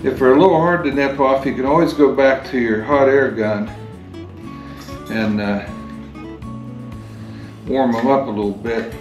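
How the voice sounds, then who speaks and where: A retired man in his sixties talks through a workshop project step by step, in a plain, unhurried voice at an ordinary middle pitch. His voice echoes around a garage, and guitar music plays clearly behind him. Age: 60s. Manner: plain, unhurried step-by-step explaining while working. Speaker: DIY workshop host. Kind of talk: hands-on how-to demonstration.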